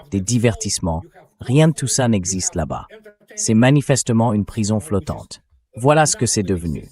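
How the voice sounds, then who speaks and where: A man's voice: on the low side, a little mellow. A man reading a translated voice-over of political and military commentary in a blunt, scornful, conversational way. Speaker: translated voice-over of an interview guest.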